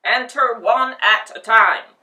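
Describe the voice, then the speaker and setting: A woman voicing a gruff male gatekeeper who barks his orders. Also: extremely high pitch, big pitch swings, takes it slowly, sharp tone, far from the mic, pitched above the performer's own voice, full character voice. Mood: brusque. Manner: barking orders. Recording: echoey room, voice off-mic.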